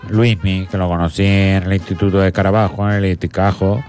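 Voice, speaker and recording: A man's voice: sits low, little pitch movement, fairly quick, a little mellow, faint music behind, natural voice. A man voicing a prissy, posh female beetle in a comedic improvised bit, campy and rambling. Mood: gossipy.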